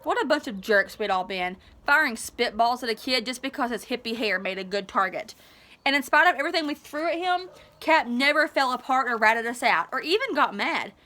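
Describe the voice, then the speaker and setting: A woman reads a storybook aloud as a teacher would. Her voice is fairly high, bright and forceful. She narrates expressively, in an animated and informal way. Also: fairly quick. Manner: animated, informal asides to listeners.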